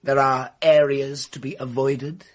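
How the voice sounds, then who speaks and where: An actor as a bitter, theatrical man, husky-voiced. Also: mid-range voice, flat delivery, measured pace, natural voice. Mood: wounded, bitter. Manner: florid, precise, melodramatic delivery. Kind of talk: radio mystery drama acting.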